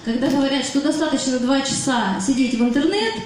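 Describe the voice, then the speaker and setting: A woman hosting a business meeting, brisk and announcing. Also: sits high, animated, a little hiss or hum. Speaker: meeting host. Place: meeting hall. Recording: echoey hall from far off with crowd.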